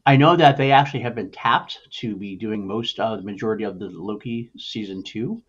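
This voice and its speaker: A man hosting a casual panel podcast, talking in a halting way and repeating words as he thinks. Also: fairly low pitch, a bit bright, dull audio. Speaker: podcast host.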